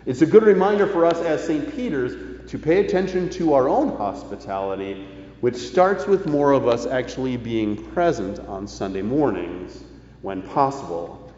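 Guy giving a sermon, lively up and down voice, big echo, kinda dull recording. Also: medium pitch, moderate pace. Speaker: preacher. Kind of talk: sermon, partly reading quoted news aloud. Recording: echoey church hall, slightly dull sound.

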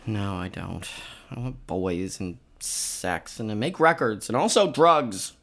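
A woman playing a middle-aged rock singer in a radio play, insecure and wry, with a hoarse voice and a halting, self-deprecating way of talking. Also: a bass voice, expressive, fairly bright tone, heavily acted. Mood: exasperated, wry. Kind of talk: naturalistic comedic radio play acting.

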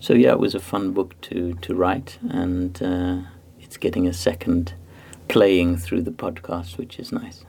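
A man from Zimbabwe, a guest author, giving measured, explanatory answers in a podcast interview, with many pauses and fillers. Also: low voice, steady tone, gravelly, warm tone, slight background noise.

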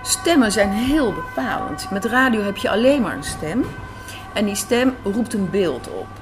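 A woman's voice: medium pitch, very animated, measured pace, over music. A woman from the Netherlands answering interview questions in a conversational, emphatic way. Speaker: guest, radio drama writer and director. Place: café, indoors. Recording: faint café background noise. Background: faint café ambience.

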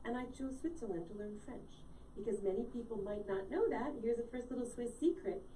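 A woman's voice: on the high side, expressive, brisk, dark tone. Older American woman, distant in an echoey room. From Connecticut, USA. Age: late 50s. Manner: chatty, storytelling, quizzes the host. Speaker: guest, wellness coach. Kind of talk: casual live-show conversation. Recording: echoey room, distant from mic, faint noise.

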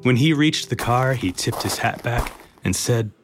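A man's voice: medium pitch, unhurried, natural voice. A man playing a tongue-in-cheek romance novel narrator, dramatic and scripted. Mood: dramatic, sultry. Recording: loud guitar music under voice.

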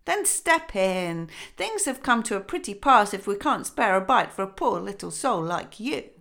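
A woman's voice: medium pitch, very animated, normal speed, vocal fry, fairly bright tone. A woman reading a fairy tale voices a motherly village shopwoman with a coaxing manner. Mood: kindly.

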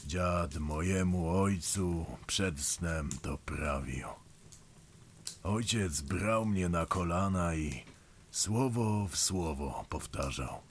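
A man narrates a folk tale in a solemn, unhurried way, his voice extremely deep. He speaks slowly over a faint ambient bed. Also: a little hiss or hum. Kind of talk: scripted storytelling narration.

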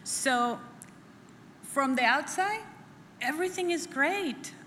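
A middle-aged woman from El Salvador, sharing her personal testimony at a church women's conference in an earnest, halting way, often repeating phrases. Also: high voice, expressive, pushed and bright. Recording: echoey hall with faint room noise.

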